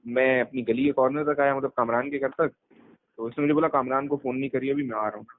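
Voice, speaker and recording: A man's voice: mid-range voice, steady tone, quick pace. Young man on a tinny phone line. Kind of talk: casual chat, telling anecdotes from youth. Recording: narrow tinny phone-call recording.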